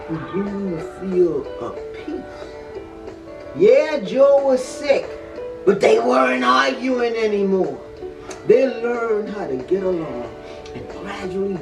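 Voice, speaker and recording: Animated older woman storyteller, slow and roomy. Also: mid-range voice. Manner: dramatic, repeats words and phrases. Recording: roomy echo, distant mic, music behind.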